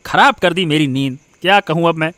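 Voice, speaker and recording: A man's voice: a little high, brisk, bright, forceful tone, dry recording. A man voicing a greedy, cruel village shopkeeper and husband in a cartoon story, scolding and threatening. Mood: irritated. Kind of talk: Hindi moral-story cartoon dialogue.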